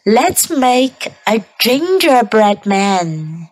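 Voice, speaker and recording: A brisk little old woman from a children's storybook, voiced by a woman. Her pitch is raised and lively. She is homely and given to exclaiming. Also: heavily acted. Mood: keen. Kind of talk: bilingual children's storybook character voice.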